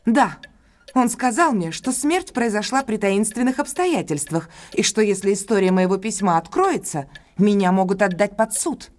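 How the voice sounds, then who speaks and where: A woman's voice: mid-range voice, animated, normal speed, darkish tone, close to an everyday voice. An actress playing a proud, wronged and resolute woman in an audio drama, speaking earnestly, with emotion and formality. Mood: subdued, confiding. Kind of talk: audio drama acting, classic detective story.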